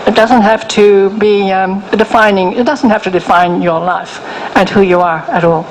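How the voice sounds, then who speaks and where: An older woman with a soft voice, speaking as a guest author in an interview, gentle and frank, often restarting her sentences. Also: mid-range voice, fairly even intonation, moderate pace, slight background noise, rough recording. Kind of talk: interview, personal story.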